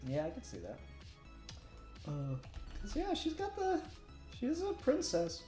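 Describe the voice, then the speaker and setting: A man's voice: extremely high pitch, huge pitch swings, a little slow, dark tone. Casual male streamer, roomy echo, music. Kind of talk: casual chat while working.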